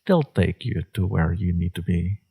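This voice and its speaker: A man playing a smug, seductive villain in a romantic audio roleplay, teasing and sure of himself, with a low, gravelly, husky voice. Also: narrow, tinny audio, full character voice. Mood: smug, flirtatious. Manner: teasing, self-assured, unhurried.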